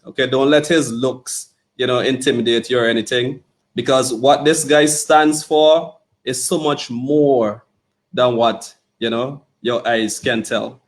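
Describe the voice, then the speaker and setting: Relaxed, chatty male podcast host. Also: medium pitch. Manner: relaxed and playful, with frequent "you know" and "okay". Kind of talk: casual interview chat.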